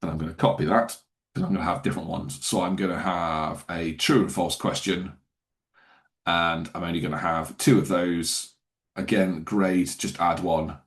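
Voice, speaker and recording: A man demonstrates software in a live webinar, his voice on the lower side. He talks casually, with loose phrasing, fillers and quick self-corrections. It sounds like a desk or headset mic on a call. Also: steady tone. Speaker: software trainer. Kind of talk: webinar screen-share walkthrough.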